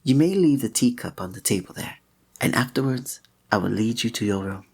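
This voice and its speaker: Man playing a soft, breathy, gallant fantasy innkeeper. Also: lowish voice, expressive, brisk, heavily acted. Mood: polite, attentive. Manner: formal, old-fashioned, gallant, gentle phrasing. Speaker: ASMR roleplay performer. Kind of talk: scripted romantic ASMR roleplay.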